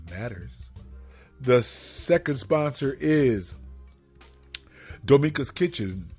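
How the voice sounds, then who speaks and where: A talkative male co-host with a lively, swinging pitch, chatting with his partner on a radio show. He lectures in easy asides while faint music plays behind. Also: husky. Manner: talkative, animated, preachy asides. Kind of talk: casual couple chat.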